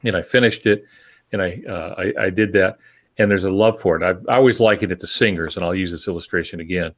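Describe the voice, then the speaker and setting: A middle-aged or older man co-hosting a podcast, explaining things in a measured, reflective, conversational way. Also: deep voice, a bit bright. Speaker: podcast co-host and experienced writer.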